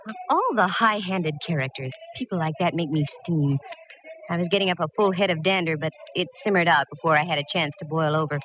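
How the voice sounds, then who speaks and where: Wisecracking gal detective, lowish voice, old muffled radio show, plucky. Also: female voice, a little fast, a bit bright. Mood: wry narrating. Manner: wry, wisecracking. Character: wry, plucky female private eye. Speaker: actress narrating and playing lead. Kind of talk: 1940s radio detective drama acting. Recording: muffled, lightly hissy old radio recording.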